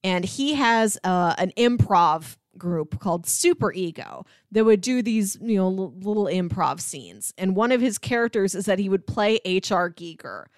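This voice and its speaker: An American woman co-hosting a podcast, chatting casually about films in a chatty, animated way. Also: medium pitch, moderate pace, few pauses, dry recording, clean studio sound. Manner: chatty, animated, often laughing while talking. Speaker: podcast co-host.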